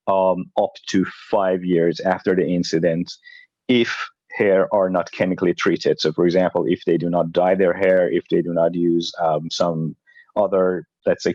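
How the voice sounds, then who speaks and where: A very low-pitched man explains carefully on a video call, pausing with many fillers. His dry, dull-sounding audio has no room echo. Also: a little mellow. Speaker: researcher on a panel.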